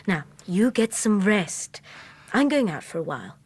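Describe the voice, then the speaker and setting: An actress playing a gentle, anxious young daughter nursing her father in a radio drama, solicitous and fussing. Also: mid-range voice, very animated, unhurried, slightly rough. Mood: gently scolding.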